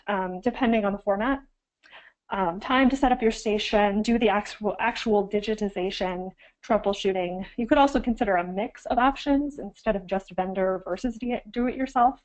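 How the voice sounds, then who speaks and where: A woman's voice: a little high, moderate pace, a little mellow, rough recording. A woman archivist getting over a cold, slightly froggy, giving an instructional webinar with frequent ums and self-corrections.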